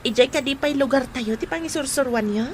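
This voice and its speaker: A woman's voice: high-pitched, fairly quick, slight background noise, close to an everyday voice. A woman playing a cheerful, respectful young medical intern in an Ilocano-accented radio drama. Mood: cheerful, polite.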